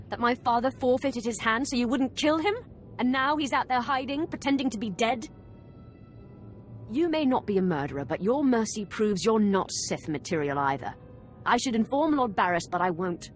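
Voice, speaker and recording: An actress playing a proud, vengeful young Sith woman in a video game cutscene, haughty and cutting. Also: fairly high pitch. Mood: scornful.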